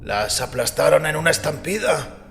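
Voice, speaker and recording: A man playing a devout, defensive priest who argues earnestly in a gravelly voice, in a dramatized audiobook. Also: a little high, animated, moderate pace, a bit bright. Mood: skeptical curiosity. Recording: long reverberant hall with music behind. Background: music.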